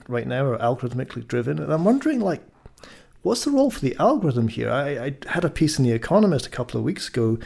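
A man's voice: medium pitch, creaky, darkish tone. A man hosting a podcast conversationally, inquisitive and thinking aloud as he questions and recaps. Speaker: podcast host.

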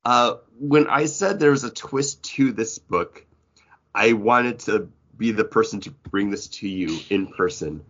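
A man with a fairly deep voice talks casually as a podcast co-host. He rambles in a jokey, informal way. The audio is somewhat dull and lo-fi. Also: unhurried, fairly bright tone. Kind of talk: casual comic book podcast chat.

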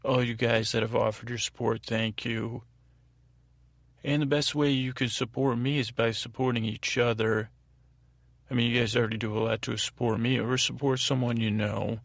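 A man with a creaky voice hosting a sleep podcast in a halting, meandering, informal monologue that often doubles back on itself. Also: mid-range voice, little pitch movement, a bit bright, dry recording. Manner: halting, meandering, self-interrupting. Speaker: podcast host.

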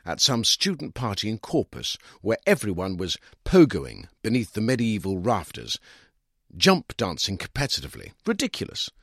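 A man's voice: lowish voice, wildly swooping pitch, moderate pace, creaky, dry recording, clean studio sound, full character voice. A man reading a short story as a smug, condescending middle-aged divorced lawyer with an English accent, argumentative and self-justifying. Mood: self-satisfied. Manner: opinionated, condescending, emphatic. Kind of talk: dramatised first-person short story reading.

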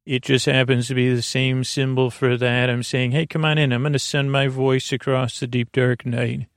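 An American man hosting a bedtime podcast in a creaky, soothing voice, meandering and stumbling through a halting monologue. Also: mid-range voice, flat delivery, no room sound, clean studio sound. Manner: meandering, halting, stumbling over words and drifting off on tangents; soothing, lulling. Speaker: podcast host.

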